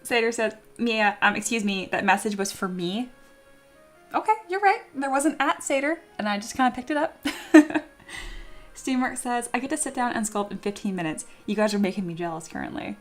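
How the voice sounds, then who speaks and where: Young female streamer, bubbly and excitable, reads chat aloud, faint music underneath. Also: a little high, fairly quick. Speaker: streamer, 3D sculptor. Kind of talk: casual livestream chat with viewers.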